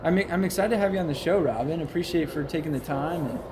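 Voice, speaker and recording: Male podcast host, upbeat and jokey, slightly high voice, noisy room. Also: fast. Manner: energetic, joking, many restarts. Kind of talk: casual interview chat.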